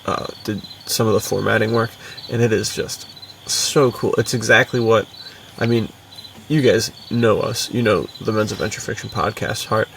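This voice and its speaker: A man's voice: lowish voice, steady tone, moderate pace, creaky, fairly bright tone, distant mic, a little hiss or hum. An American man co-hosting a podcast in casual, informal chat, using plenty of laid-back slang. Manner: informal, bro-ish, frequent "dude" and "like". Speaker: podcast co-host and adventure fiction author.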